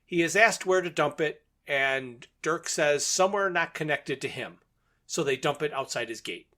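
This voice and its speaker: Higher-voiced man recapping a story. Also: normal speed, pushed and bright. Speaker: podcast host recapping a radio drama. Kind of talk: episode recap in conversation.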